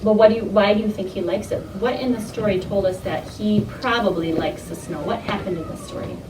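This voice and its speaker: A woman reads and teaches to a class of young children, with a mid-pitched voice and a questioning, instructive manner. She repeats answers back as she leads the story. The recording is roomy and picked up from a distance. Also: brisk. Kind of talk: interactive read-aloud lesson to young children. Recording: roomy, distant mic, loud classroom noise.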